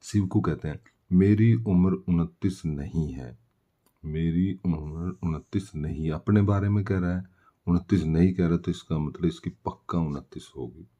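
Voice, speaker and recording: Male tutor, slow and mellow delivery, walking through worked solutions with self-answered questions. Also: low voice, muffled recording. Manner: explanatory, poses questions and answers them himself. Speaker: maths teacher. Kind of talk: step-by-step worked-solution lesson.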